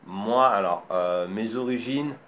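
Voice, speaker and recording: A man born in Congo and raised in France, a designer answering interview questions in a halting way with many fillers. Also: lowish voice, fairly bright tone.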